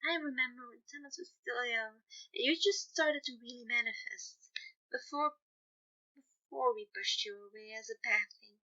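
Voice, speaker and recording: A woman voicing a gentle, remorseful fatherly man in a fan fiction reading, speaking tenderly and haltingly. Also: on the high side, pitched above the performer's own voice. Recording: dull, lo-fi home recording.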